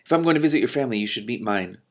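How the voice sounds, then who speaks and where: A man's voice: mid-range voice, lively intonation, very fast. Man reading as an earnest, courteous young prince. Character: young prince, earnest, gallant, easily embarrassed.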